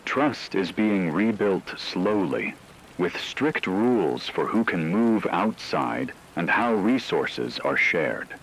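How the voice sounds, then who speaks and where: A grave radio newscaster acted by a man with a very low voice. He narrates a fictional disaster broadcast in a solemn, measured way. Faint rain-like noise sits behind him. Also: big pitch swings, gravelly, heavily acted, rough recording. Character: grave male radio newscaster reporting an apocalypse's aftermath.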